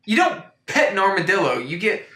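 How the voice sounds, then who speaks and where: A man hosting a comic storytime in a mock-serious, deadpan way, rambling into incredulous asides. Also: sits high, fairly quick, roomy sound. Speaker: host of a comic storytime show. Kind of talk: deadpan comedic picture book review.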